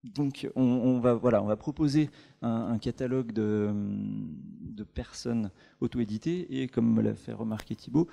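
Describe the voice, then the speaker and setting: A man presenting a book platform at a meetup, speaking haltingly, with many fillers and restarts. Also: mid-range voice, gravelly, warm tone. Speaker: startup co-founder presenting at a meetup. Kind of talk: conference presentation.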